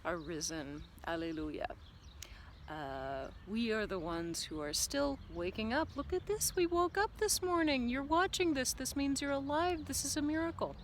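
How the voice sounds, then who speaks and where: Woman thinking out loud to her viewers, earnest and rambly, lots of "like" and "uh", faint hiss behind. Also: mid-range voice. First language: not German. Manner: musing, earnest, with many fillers and "like". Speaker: vlogger and business coach. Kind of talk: reflective casual monologue to camera.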